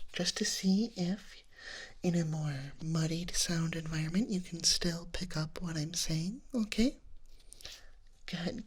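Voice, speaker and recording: A gentle dragon nurse, played by a woman speaks in soft ASMR roleplay. The voice is slightly low and breathy, often dropping to a whisper. The delivery is extremely slow, with a reassuring, clinical bedside manner. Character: gentle dragon nurse giving a hearing exam.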